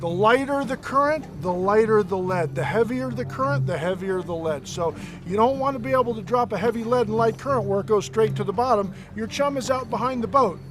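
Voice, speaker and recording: High-voiced, energetic fishing guide. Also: male voice, brisk, few pauses. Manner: energetic, chatty, explains step by step. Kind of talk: instructional fishing tips. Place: on a boat offshore, outdoors.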